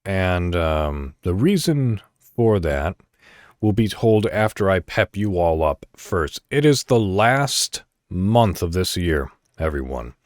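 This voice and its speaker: Low-voiced man hosting a solo podcast. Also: animated, slow pace, no echo, clean studio sound. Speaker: podcast host. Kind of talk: solo podcast monologue, casual talk to listeners.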